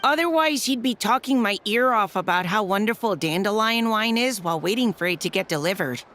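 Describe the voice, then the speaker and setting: A woman's voice: on the high side, a bit bright. A woman voicing an earnest, slightly flustered young knight courier in a video game, polite and hesitant. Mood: chatty, earnest. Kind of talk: anime-style video game voice acting.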